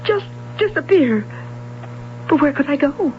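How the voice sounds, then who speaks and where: A woman's voice: high voice, expressive, a little slow. A woman playing a troubled, insecure young swimmer in an old radio drama, speaking in an earnest, emotive way. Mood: anguished confession. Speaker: actress. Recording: dull, hissy, humming old radio recording.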